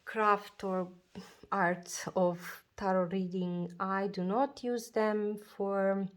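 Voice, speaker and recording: A woman chatting casually about her journals and planners, talking slowly with many fillers and restarts, her voice a bit froggy from a cold. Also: medium pitch, flat delivery. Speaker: journaling video creator. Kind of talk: casual chat showing a planner.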